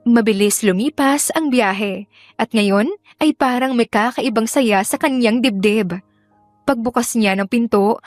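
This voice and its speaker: A woman's voice: a little high, dry recording. A woman narrator reading scripted romantic fiction as dramatic storytelling. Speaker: audiobook narrator.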